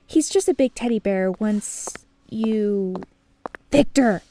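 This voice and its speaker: A woman's voice: medium pitch, takes it slowly, mellow, slight background noise, lightly acted, rough recording. A woman voicing a perky, bossy young female student in a cartoon, chatty and teasing. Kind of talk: animated comic dub acting.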